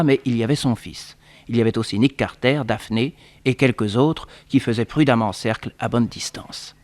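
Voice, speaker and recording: Casting: male storyteller, mid-pitched voice, scripted dramatic narration for an old radio serial.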